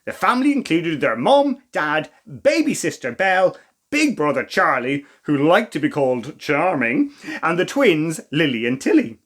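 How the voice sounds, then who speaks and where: A man reading a children's story as a storytime host, with expressive, theatrical emphasis and asides to the listeners. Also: on the high side, normal speed. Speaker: storytime reader.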